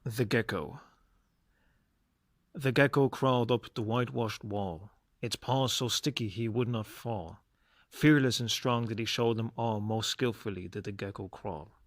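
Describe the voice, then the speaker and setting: A man hosting a reflective solo podcast, speaking in measured phrases with emphatic stresses. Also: medium pitch, no room sound, studio quality. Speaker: podcast host. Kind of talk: reflective solo podcast monologue with poem reading.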